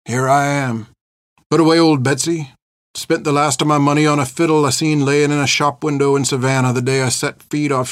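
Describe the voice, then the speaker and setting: A male audiobook narrator voicing an old reformed pirate, gruff and haunted, talking in rustic, ungrammatical sailor's speech. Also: medium pitch, no room sound, clean studio sound, natural voice. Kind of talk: dramatic audiobook character acting.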